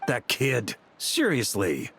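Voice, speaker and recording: An actor playing a seasoned, wry and authoritative knight commander in a video game. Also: male voice, mid-range voice, wildly swooping pitch, takes it slowly, creaky, a bit bright, slight background noise, heavily acted.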